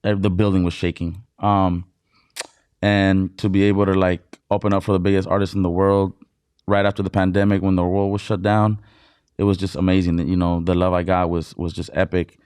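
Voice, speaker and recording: Smooth-voiced man telling excited stories. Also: low-pitched, fairly quick, dry recording. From Mexicali, Baja California, Mexico. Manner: excited storytelling, heavy filler words, swearing. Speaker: DJ guest. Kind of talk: casual interview chat.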